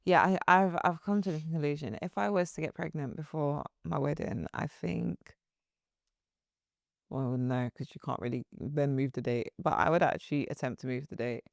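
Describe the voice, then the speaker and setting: A woman's voice: deep voice, normal speed, vocal fry, no room sound, clean studio sound. A woman co-hosting a podcast in casual chat, rambling and restarting her sentences, often giggling. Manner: rambling, loses her train of thought, giggly. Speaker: podcast co-host.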